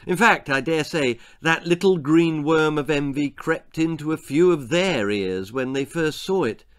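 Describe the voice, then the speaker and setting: A British man in his sixties reading a children's story aloud theatrically, with deliberate phrasing and dramatic pauses. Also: a little high. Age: 60s. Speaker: author reading his own stories. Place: small indoor room lined with books.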